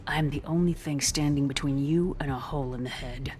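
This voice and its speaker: A man acts a principled commander in a video game. His voice sits a bit high for a man and stays measured and firm, reasoning calmly over soft background music. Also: lightly acted. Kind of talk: dramatic video game acting.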